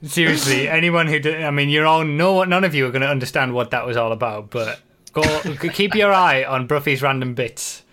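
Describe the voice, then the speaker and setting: A man's voice: on the high side, brisk, hardly any pauses, pushed and bright. A man hosting a podcast, asking questions casually with many restarts and repeated words. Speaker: podcast host. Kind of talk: casual chat.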